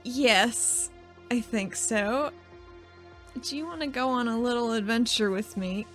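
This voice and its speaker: A woman's voice: a little high, measured pace, faint music behind, poor audio. A woman chatting casually as a player in a tabletop role-playing podcast. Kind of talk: casual tabletop roleplay chat.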